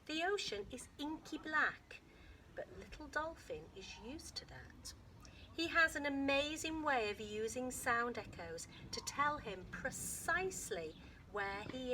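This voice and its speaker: A woman teacher with a British accent reading a children's picture book aloud, with expressive storytelling that sometimes drops to a soft whisper and stops to explain words simply for young children. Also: medium pitch, slight background noise. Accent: British English. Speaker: infant school teacher.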